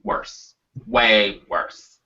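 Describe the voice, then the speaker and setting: A man's voice: fairly low pitch, very slow, sharp tone. A man co-hosting a show with playful, emphatic banter, recorded in dull lo-fi sound. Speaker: show co-host. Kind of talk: casual banter and show outro. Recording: dull lo-fi audio.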